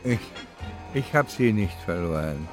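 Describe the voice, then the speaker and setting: An older man from East Germany, a former professor, recalling his life in an interview in a reflective, halting way as he searches for words. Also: low voice, lively intonation, music in the background.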